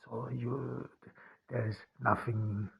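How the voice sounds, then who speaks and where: A man from Austria, an author speaking in a personal podcast monologue, halting and often repeating and restarting his words. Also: medium pitch, level tone, creaky, slightly rough, dull audio.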